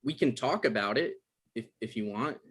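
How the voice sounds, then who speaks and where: A man's voice: mid-range voice, expressive, fairly bright tone, breathy edge. Man playing an awkward, hesitant young guy. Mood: awkward, apologetic. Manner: hesitant, halting, dry. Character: awkward, apologetic young man, sarcastic when cornered. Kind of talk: table read of a comedy script.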